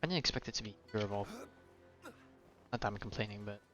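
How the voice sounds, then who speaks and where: A man's voice: on the low side, fast talker, fairly bright tone, muffled recording. A man giving casual, offhand live gameplay commentary as a streamer. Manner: profane, offhand. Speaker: game streamer. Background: faint game music.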